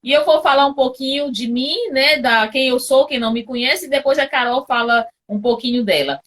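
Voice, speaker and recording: Brazilian woman co-host, high voice, chatty live talk over video call. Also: a little fast, a bit bright. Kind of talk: casual live chat.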